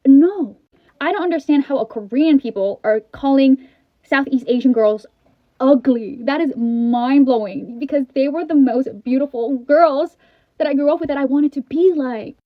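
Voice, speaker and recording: On narrow, tinny audio with no room sound, an American woman talks in a high voice. She is casual yet emphatic and incredulous, often repeating her point. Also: normal speed, no room sound. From California, USA. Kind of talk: casual direct-to-camera reaction. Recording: dry, tinny phone-like audio.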